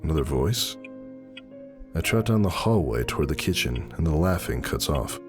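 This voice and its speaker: A man's voice: a bass voice, very animated, normal speed, over music. A man playing a brooding, anxious stallion husband, telling his tale in a somber, dramatic audiobook style. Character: brooding male pony husband, anxious and suspicious.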